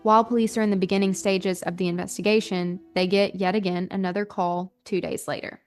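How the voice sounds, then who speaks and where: A woman's voice: mid-range voice, little pitch movement, fairly quick, a little mellow, faint music behind. A woman hosting a podcast, telling a true-crime story in a conversational, colloquial way. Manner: casual, colloquial phrasing with frequent "like". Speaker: podcast host.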